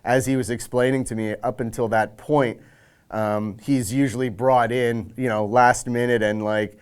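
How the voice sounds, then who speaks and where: A man's voice: mid-range voice, fairly even intonation, moderate pace, clean tone. A man speaking casually in a making-of interview as an audio director, acting out bits of conversation as he goes.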